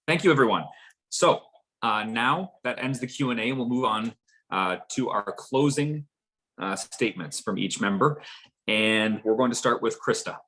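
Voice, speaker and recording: Brisk man moderating a forum. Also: medium pitch, lively intonation, sharp tone, low-quality recording. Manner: brisk, hands off turns.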